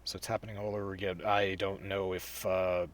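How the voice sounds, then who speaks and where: A man's voice: sits low, flat delivery, fairly quick. A man co-hosting a casual gaming podcast, talking bluntly and profanely with rambling restarts. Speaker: podcast co-host. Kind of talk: casual gaming news chat.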